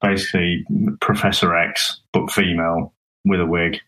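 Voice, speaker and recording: A man co-hosting a casual film review talk, explaining things in a halting, conversational way with tag questions. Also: deep voice, flat delivery, pushed and bright, poor audio. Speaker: film review podcast co-host.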